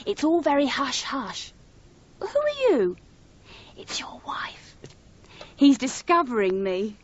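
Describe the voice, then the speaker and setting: Perky young woman poet, very high swooping voice, brisk, guileless, radio play, muffled noisy sound. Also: huge pitch swings, husky, exaggerated voice. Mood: breezy, guileless. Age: young adult. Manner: chirpy, brisk, matter-of-fact. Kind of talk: radio drama acting.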